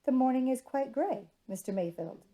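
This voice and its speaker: A woman's voice: sits high, expressive, moderate pace, mellow, voice pushed up. A woman voicing a reserved, exasperated young society lady, curt and dryly formal, in a storybook reading.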